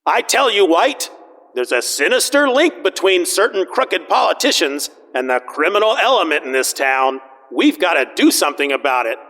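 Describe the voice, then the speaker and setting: A man's voice: high-pitched, very animated, moderate pace, bright, forceful tone, in a large hall, exaggerated voice. A man voicing an earnest crusading newspaper reporter from a comic book, urgent and declamatory.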